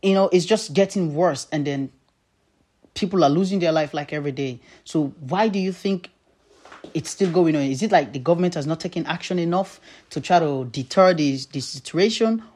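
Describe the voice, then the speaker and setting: A man's voice: fairly high pitch, moderate pace, darkish tone. A man from Africa, interviewing a guest with long, probing questions. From West Africa.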